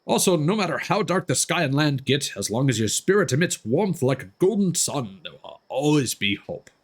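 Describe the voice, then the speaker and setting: A man's voice: fairly high pitch, very animated, moderate pace. A man voicing small, earnest, childlike forest spirits as he reads game dialogue aloud in a singsong storybook way. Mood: earnest, grateful.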